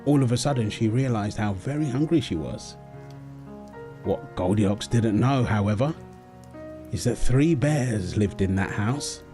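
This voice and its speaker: A man acting a gentle young father at his baby daughter's bedtime, soft, playful and coaxing in an intimate roleplay style. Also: on the low side, lively intonation, measured pace, over music. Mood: storytelling. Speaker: audio roleplay performer. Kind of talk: intimate comfort roleplay, storybook reading.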